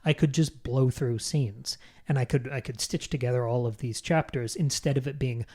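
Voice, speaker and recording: Man, podcast creator in casual interview. Mid-range pitch, frank, self-deprecating, conversational, salty language. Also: moderate pace, warm tone. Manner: candid, self-deprecating, sweary. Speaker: podcaster and audio drama creator being interviewed.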